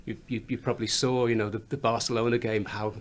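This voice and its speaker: A songwriter with a slight Liverpool accent and a fairly high voice, chatting in a studio interview and laughing often as he explains how he writes songs. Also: level tone, fast. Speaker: songwriter and musician. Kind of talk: radio interview chat. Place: recording studio.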